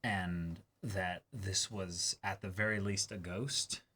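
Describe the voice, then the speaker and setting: A man's voice: low-pitched, steady tone, slow pace, bright, forceful tone, slight background noise. A man co-hosting a reaction show, talking casually and wordily as he analyses an anime episode.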